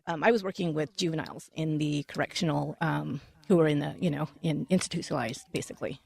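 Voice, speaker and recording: A woman's voice: low-pitched, quick pace, vocal fry, a little mellow. A woman podcast host describing her show in a roundtable discussion, chatty and often correcting herself mid-sentence. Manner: chatty, self-correcting, many fillers.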